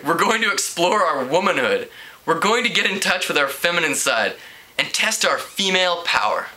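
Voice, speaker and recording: Storybook part: cool, assured young female substitute read by a man, upbeat, sharp bright tone, raised pitch. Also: lively intonation, moderate pace, poor audio. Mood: confident, upbeat. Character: young, hip, breezy, confident female home ec substitute.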